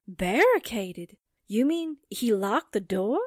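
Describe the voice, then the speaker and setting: A woman playing an excitable, wide-eyed sidekick with an exclaiming delivery in a vintage-style radio drama. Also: fairly high pitch, slow, no room sound, studio quality, heavily acted. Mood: curious. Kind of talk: 1940s radio drama acting.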